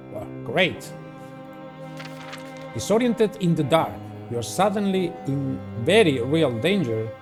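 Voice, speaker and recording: A man with a somewhat high voice hosts a playthrough of a story game. He reads the narration aloud and chats casually about it, with music playing behind him. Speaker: video game player and host. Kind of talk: reading a visual novel aloud with casual commentary. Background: background music.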